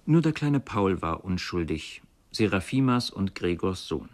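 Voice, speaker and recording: An actor playing a brooding river fisherman in a literary radio play, with measured, reflective storytelling. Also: deep voice, sing-song, darkish tone, full character voice. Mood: brooding, remorseful. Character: brooding poor river fisherman, remorseful and fatalistic.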